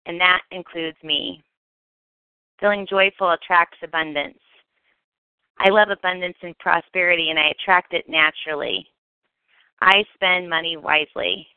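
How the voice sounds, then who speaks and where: A woman guiding a meditation, reading scripted affirmations in measured, deliberate short phrases. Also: fairly low pitch, clean tone, no room sound. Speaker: guided meditation narrator. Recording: tinny phone-like narrow band, dry.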